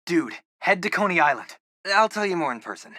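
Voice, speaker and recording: A geeky teenage boy, the loyal sidekick of a video game hero. He chats casually with wry humor and a lively, swinging intonation. His voice is bright and mid-pitched, recorded close and dry. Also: a little fast, dry recording, exaggerated voice. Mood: teasing. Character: geeky, upbeat teenage boy, loyal wisecracking best friend. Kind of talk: video game companion dialogue.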